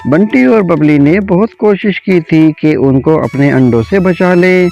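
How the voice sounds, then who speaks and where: A male storyteller reads a children's tale very slowly. His voice sits a little high for a man. Faint music plays behind the narration. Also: creaky, highs cut off. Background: soft background music.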